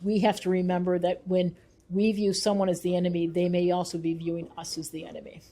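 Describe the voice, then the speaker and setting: A woman researcher talks in an interview with a mellow, soft-edged voice. She explains her views earnestly and leans on key points with emphasis, in a thoughtful, conversational way. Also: lowish voice, level tone, quick pace. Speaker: researcher and author being interviewed.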